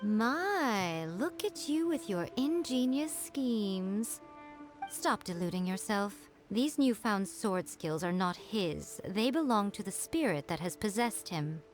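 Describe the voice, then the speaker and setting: A woman playing a sly, imperious shrine priestess in a video game, with a measured, condescending, coolly menacing delivery. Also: mid-range voice, expressive, soft background music. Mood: mocking, commanding.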